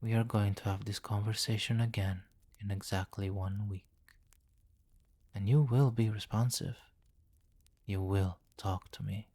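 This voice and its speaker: A man's voice: fairly low pitch, steady tone, darkish tone. A man playing a commanding, possessive vampire king in an intimate, teasing ASMR roleplay. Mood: coaxing, commanding. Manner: slow, teasing, condescending, with murmured endearments.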